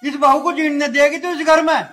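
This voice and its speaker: A man's voice: extremely high pitch, level tone, moderate pace, pushed and bright, soft background music. A man playing an older father-in-law who firmly reproaches his wife in a family drama skit. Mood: reproachful. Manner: firm, reproachful. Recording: echoey room.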